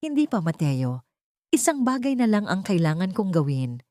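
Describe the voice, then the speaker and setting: A woman's voice: medium pitch, very animated, normal speed, mellow, no echo, close to an everyday voice. Woman voicing a solemn, unyielding Filipina heroine. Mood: resolute. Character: wronged young woman, compassionate yet firm, reclaiming her honour.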